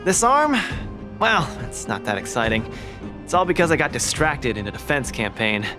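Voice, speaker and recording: A man's voice: mid-range voice, sing-song, normal speed, over music, lightly acted. An actor playing a cheerful, cocky, earnest young male fighter in a video game, with an upbeat, breezy, eager manner.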